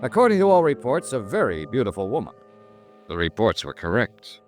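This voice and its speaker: An actor playing a smooth, wry insurance investigator in a 1950s radio detective drama, probing politely with deliberate pauses. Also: male voice, medium pitch, huge pitch swings, soft background music. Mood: crisp, dry narration. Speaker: lead actor and narrator.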